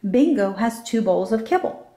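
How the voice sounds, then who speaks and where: A woman's voice: medium pitch, animated, normal speed, warm tone. A woman reading a children's story aloud as scripted narration. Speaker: storybook reader.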